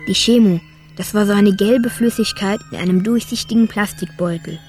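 A woman's voice: mid-range voice, moderate pace, darkish tone, soft background music, lightly acted. A woman playing an Afghan boy who narrates his illness simply and plainly in a radio play. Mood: matter-of-fact, resigned. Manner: simple, matter-of-fact.

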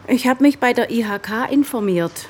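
A woman's voice: fairly high pitch, animated, moderate pace, slight background noise, natural voice. A woman acting a direct, sceptical state prosecutor in a radio drama. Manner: direct, needling.

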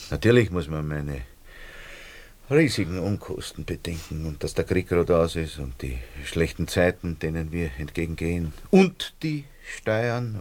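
An older Viennese hairdresser in a radio play, with an extremely deep voice and a slow, drawn-out delivery. He is a cynical, self-serving father, coaxing and grumbling in an Austrian accent. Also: heavily acted.

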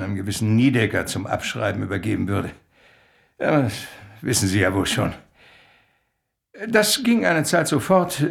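An actor playing an eccentric, theatrical professor in a German radio drama, speaking in a hesitant, deliberate and evasive way. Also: male voice, medium pitch, gravelly. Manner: hesitant, ponderous, deliberate delivery.

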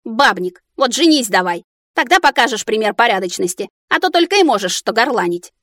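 A woman voicing a sharp-tongued, bossy young heroine in a fantasy audiobook, exclamatory and theatrical. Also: fairly high pitch, sing-song, fairly quick, no room sound, studio quality. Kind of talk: audiobook character acting.

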